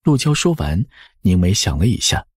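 Male audiobook narrator, very raspy low register, lively intonation. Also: moderate pace, dry recording, clean studio sound. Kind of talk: scripted novel narration.

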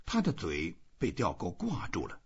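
A man's voice: low-pitched, huge pitch swings, normal speed, darkish tone, slightly rough. A man reading a literary novel aloud as scripted audiobook narration, in a dull, muffled recording. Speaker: audiobook reader.

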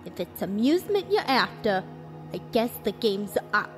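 A woman's voice: mid-range voice, very animated, normal speed, soft background music, natural voice. A woman playing a cynical, defiant survivor of an alien invasion in a radio play, with blunt, folksy, clipped phrasing. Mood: bleak, blunt. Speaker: actor in an amateur radio drama.

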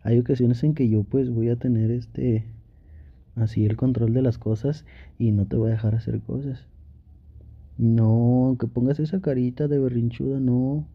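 A man's voice: medium pitch, fairly even intonation, a little slow, vocal fry, slightly rough, lo-fi sound. A young man playing an affectionate, teasing, pouty boyfriend in an intimate ASMR roleplay, talking playfully and pleadingly with sweet pet names. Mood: teasing, tender. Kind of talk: Spanish ASMR boyfriend roleplay, intimate one-sided dialogue.

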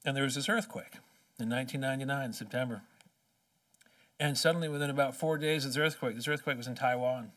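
A man in his forties delivers a keynote from a podium in a large conference hall, his voice sitting at a middle pitch. He speaks emphatically and rhetorically, stretching key phrases slowly. The sound is a bit distant, as if caught from across the hall. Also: a bit bright. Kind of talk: impassioned keynote speech. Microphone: podium microphone.

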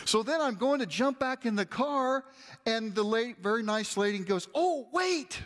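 A middle-aged man, a pastor, telling a story in a lively, conversational sermon while moving around a large church stage. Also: very high-pitched, slow, in a large hall. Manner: animated, conversational, gestures while walking the stage.

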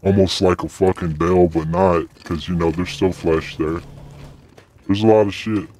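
A man's voice: low-pitched, fairly even intonation, moderate pace, darkish tone. A man in casual, crude, playful banter while gaming online, full of slang. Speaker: gaming video creator. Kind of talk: casual joking banter during online game. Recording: faint noise, game sounds.

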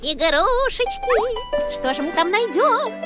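A woman's voice: extremely high pitch, takes it slowly, over loud music, full character voice. A woman voicing a small, playful living backpack girl in a children's cartoon, eager, bold and curious. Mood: excited, playful. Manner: excitable, curious. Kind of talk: animated children's cartoon acting.

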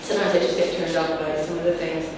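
A woman's voice: deep voice, fast, a crowd in the background. Distant echoey woman rambling on a panel. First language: not Czech. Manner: rambling, filler-heavy, animated. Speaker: panelist in art discussion. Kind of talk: panel discussion. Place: room with curtains, seated on sofa. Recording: distant mic, echoey hall, noisy.

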